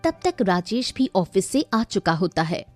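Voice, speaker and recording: A woman's voice: mid-range voice, big pitch swings, measured pace, darkish tone, no room sound. A woman narrating a scripted story in a dramatic storytelling style. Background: faint music.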